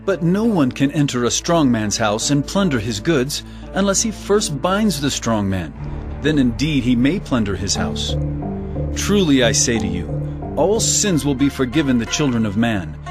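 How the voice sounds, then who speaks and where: An actor playing Jesus as a calm, authoritative male teacher in an audio drama, with a measured, solemn delivery. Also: mid-range voice, lightly acted. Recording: music bed, slightly distant mic.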